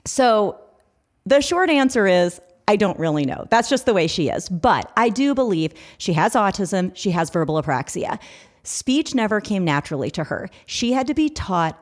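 Woman podcast host, middle-aged, earnest and explanatory, mid-pitched voice. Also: very animated, normal speed. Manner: earnest, explanatory, parent giving advice. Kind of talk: casual podcast chat.

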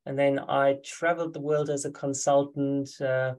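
A German-accented man answers interview questions in a friendly, halting way, pausing and restarting as he searches for words. His voice sits at an ordinary middle pitch. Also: level tone, darkish tone, smooth. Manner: hesitant, many fillers and restarts, friendly. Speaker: guest, ultra runner. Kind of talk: podcast interview.

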